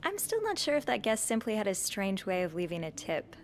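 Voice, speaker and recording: A woman's voice: medium pitch, wildly swooping pitch, brisk. A woman voicing a reserved, curious hotel maid in a narrative video game. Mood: hesitant, reserved. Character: young female hotel maid, nosy, reserved, soft-spoken.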